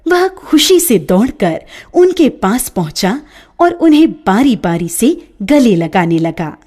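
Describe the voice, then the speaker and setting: A woman narrating a children's story in a scripted storybook style, with an expressive, lilting delivery. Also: a little high, slow pace.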